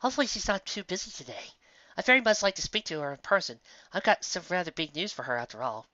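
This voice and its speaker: A woman voicing an earnest, gentle, bookish young female unicorn in a cartoon fan story, kindly and measured. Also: lowish voice, big pitch swings, dry recording, muffled recording, pitched above the performer's own voice. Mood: friendly, purposeful.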